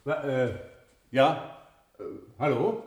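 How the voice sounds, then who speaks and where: An actor playing an uneasy, reluctant professor in a German radio play, hesitant and guarded in manner. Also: male voice, mid-range voice, lively intonation, slow. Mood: confused, curt. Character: uneasy, reluctant male professor visiting an institution.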